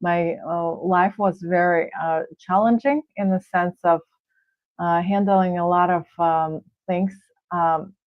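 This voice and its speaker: A middle-aged woman with a Russian accent, a guest coach answering thoughtfully with frequent pauses and fillers. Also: lowish voice, fairly even intonation, dry recording. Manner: thoughtful, halting with many fillers. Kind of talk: casual interview answers. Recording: tinny phone-like line.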